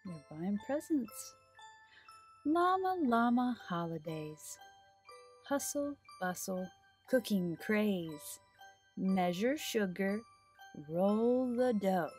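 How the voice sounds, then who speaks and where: A woman's voice: mid-range voice, animated, extremely slow, pauses often, a little mellow, soft background music. A middle-aged woman hosting storytime for small children, with an exaggerated, sing-song delivery as she talks and reads aloud. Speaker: children's library storytime host. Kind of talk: children's storytime, talking to young viewers and reading aloud. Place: small decorated indoor storytime set.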